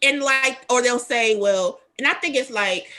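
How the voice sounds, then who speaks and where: A woman's voice: fairly high pitch, a little slow, bright, forceful tone. A woman hosting a radio talk show, chatting candidly and rambling with fillers. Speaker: host. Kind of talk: casual talk show chat.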